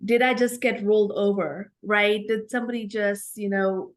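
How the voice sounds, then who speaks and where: A woman's voice: medium pitch, fairly even intonation, normal speed. Indian-accented woman in her 40s, earnest, reflective, keeps asking "right?". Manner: earnest, reflective, often ends points with "right?". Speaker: guest. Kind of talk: conversational interview.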